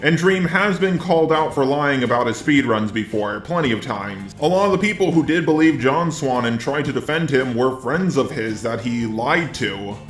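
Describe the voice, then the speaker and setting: A synthetic male robot voice narrates scripted video commentary at a middle pitch. It sits in an echoey space, with music playing behind it. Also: expressive, normal speed, hardly any pauses.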